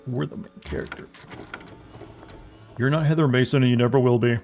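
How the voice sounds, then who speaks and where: A male streamer talks through a game in a chatty, wry way, tossing out jokes as he plays. His voice has a slight rasp, sits at a middling pitch, and soft game music hums underneath. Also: steady tone, fairly quick. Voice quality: a bit raspy. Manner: chatty and wry, joking asides. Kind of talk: live gameplay commentary. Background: faint game music.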